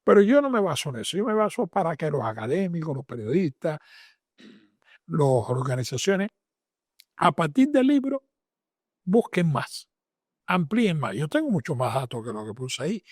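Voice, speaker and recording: Older Cuban man, fairly high voice. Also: a little mellow, no echo, clean studio sound. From Matanzas province, Cuba. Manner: emphatic, repeats phrases in lists. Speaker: interviewed doctor and author. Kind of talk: spoken interview answers.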